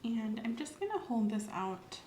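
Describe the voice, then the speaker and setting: A soft-spoken woman in an ASMR medical roleplay, gently and calmly playing an examiner, often dropping into a whisper. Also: a little high. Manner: gentle, reassuring, unhurried clinical narration. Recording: roomy echo, faint ambient noise.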